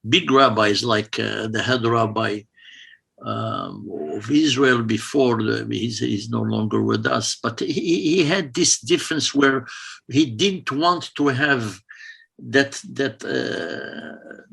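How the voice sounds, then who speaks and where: Gravelly, bright-voiced man who stammers. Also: medium pitch. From Morocco. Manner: halting, stacks of repeated words, laughs easily. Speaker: cantor, guest. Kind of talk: casual interview answers.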